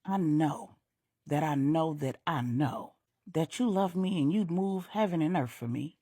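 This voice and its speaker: A middle-aged woman storyteller reading a grown-up bedtime story aloud, voicing a devoted grieving mother with a heartfelt, measured delivery. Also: deep voice, dark tone, no echo, clean studio sound.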